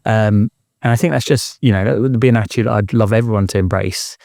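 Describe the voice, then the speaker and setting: A man's voice: lowish voice, quick pace, vocal fry, darkish tone, dry recording, clean studio sound. A British man speaking reflectively as an interview guest, thoughtful and checking his points with little tag questions. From United Kingdom. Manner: thoughtful, peppers points with "right?". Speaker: interview guest, inclusion consultant.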